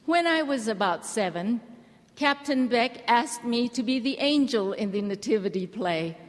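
A woman from Hawaii giving an earnest, gentle church address to a women's gathering. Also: a little high, lively intonation, measured pace. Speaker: church speaker. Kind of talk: measured address to a women's conference. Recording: large hall reverb.

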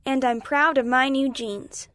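Woman voicing an earnest, high-pitched young schoolgirl. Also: steady tone, a little slow, fairly bright tone, no echo. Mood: accepting. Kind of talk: children's story dialogue reading.

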